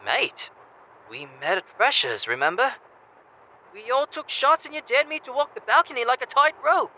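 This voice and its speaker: A man's voice: very high-pitched, expressive, normal speed, sharp tone, slight background noise, full character voice, low-quality recording. A young man playing a cocky, boisterous student wingman in an audio drama, brash, teasing and pushy. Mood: hailing, chummy.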